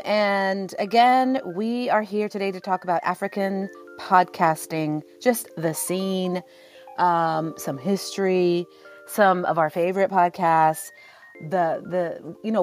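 A woman's voice: medium pitch, darkish tone. A woman from Sudan hosting a live panel conversationally, in an informal, affirming way. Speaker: panel moderator, journalist and podcast host. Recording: live audio-app chat, faint music.

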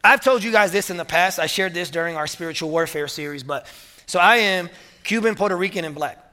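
A man preaching a sermon as pastor to his church, energetic and emphatic, often repeating words as he builds to strong points. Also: sits high, fairly quick. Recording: long hall reverb.

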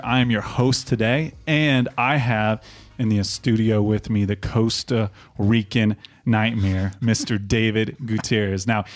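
A man hosting a podcast in a studio, opening the show and teasing his guest playfully. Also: on the low side. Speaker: podcast host. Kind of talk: podcast intro and casual banter.

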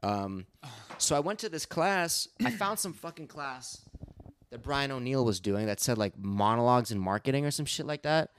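A young man co-hosting a casual podcast, animated and profane, calling his friend "dude" and "bro". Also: mid-range voice, moderate pace, smooth. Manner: animated, swearing, says "dude" a lot. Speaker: podcast co-host. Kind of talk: casual chat.

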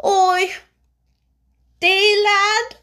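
A motherly countrywoman with a high, tremulous voice, as a woman reads her aloud in an audiobook. She talks slowly and tenderly in broad country dialect. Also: bright, forceful tone, exaggerated voice. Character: warm motherly Yorkshire countrywoman.

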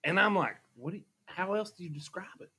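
A man chats on a podcast, his husky voice sitting a little high. His pitch swings widely as he tells animated stories and laughs easily. He talks into a studio microphone. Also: quick pace. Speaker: podcast co-host. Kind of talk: casual podcast chat.

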